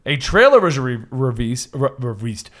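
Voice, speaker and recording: A man's voice: medium pitch, very animated, normal speed. A man co-hosting a casual list discussion, reading items out and commenting in a jokey, self-correcting way. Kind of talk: casual chat with read-out news items.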